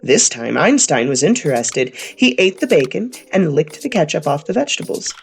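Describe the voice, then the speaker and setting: A woman reading a story aloud for young children, with expressive delivery and explanatory asides like a teacher. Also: deep voice, moderate pace, creaky.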